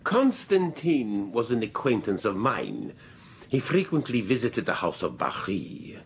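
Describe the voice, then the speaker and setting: A curt, businesslike accomplice in a vintage radio play. His pitch swings widely. A faint rumble sits behind him. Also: very animated, measured pace. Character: stern male associate pressing for the spectacles. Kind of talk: 1940s radio acting.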